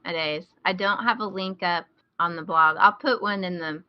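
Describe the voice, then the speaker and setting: A woman's voice: on the low side, moderate pace, no room sound. A woman co-hosting a podcast, chatting casually with dry, joking banter. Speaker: podcast co-host. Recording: dull, lo-fi close dry audio.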